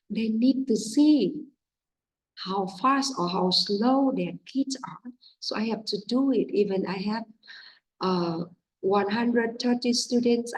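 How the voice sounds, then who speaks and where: Woman teacher, Vietnamese first language, husky voice, slow and halting, mic a bit far off. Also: mid-range voice, a little mellow. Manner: halting, many fillers, non-native English. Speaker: English teacher training other teachers. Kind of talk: informal teaching guidance. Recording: distant from the mic.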